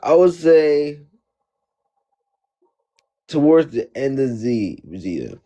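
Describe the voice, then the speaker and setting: A man's voice: mid-range voice, very animated, takes it slowly, warm tone. A man hosting a casual debate chat, joking and rambling with lots of repetition.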